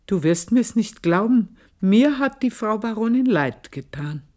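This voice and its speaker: A woman's voice: mid-range voice, expressive, a little slow, darkish tone, lightly acted. An actress playing an older housemaid who tells her own story in a radio play, speaking in an intense, confiding and scornful way. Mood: bitter confiding. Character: aging housemaid, bitter, proud, long in service.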